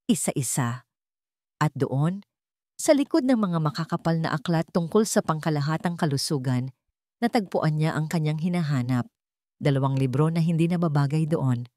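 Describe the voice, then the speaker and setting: Female story narrator, low register, solemn and measured delivery, dry close sound. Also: darkish tone, no room sound. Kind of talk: dramatic scripted story narration.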